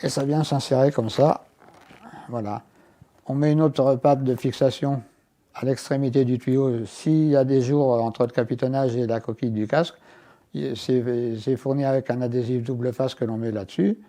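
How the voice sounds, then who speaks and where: A man's voice: mid-range voice, fairly even intonation, normal speed, warm tone. A man presenting a product as a guest, explaining it hands-on and step by step with small asides. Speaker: inventor and guest demonstrating his product. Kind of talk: hands-on product demonstration in an interview.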